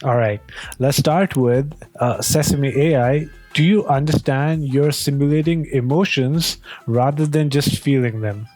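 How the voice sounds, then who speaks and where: A man's voice: mid-range voice, a little slow, a little mellow, faint music behind. A man hosting a video, casually presenting and putting questions. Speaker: video host testing an AI with questions.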